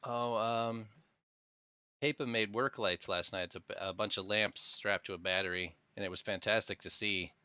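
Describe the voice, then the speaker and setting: A man with a croaky voice hosting a casual live game stream, chatting and laughing easily as he builds. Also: fairly low pitch, moderate pace, dry recording, studio quality. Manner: relaxed, laughing easily while narrating his building. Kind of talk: casual live gameplay chat. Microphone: headset microphone. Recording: dull headset mic sound.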